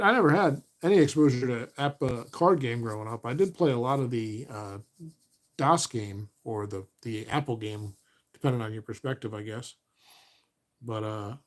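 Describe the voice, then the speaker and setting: A man doing play-by-play of a tabletop baseball replay as host, relaxed and correcting himself as he counts stats aloud, his voice getting a little raspy. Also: medium pitch. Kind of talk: play-by-play commentary of a board game replay.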